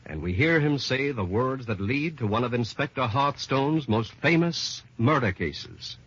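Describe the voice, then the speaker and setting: A bright, animated male announcer delivers scripted narration in a grand old-time radio manner. His delivery is dramatic and deliberate, on a dull vintage recording with faint music. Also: mid-range voice, slightly rough.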